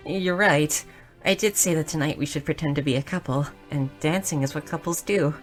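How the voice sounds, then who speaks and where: A woman voicing a shy, nerdy, curious young goblin girl in a roleplay audio drama, speaking softly and earnestly with small stammers. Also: sits low, animated, normal speed, faint music behind. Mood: nervous, flustered. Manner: soft, hesitant, stammering, earnest.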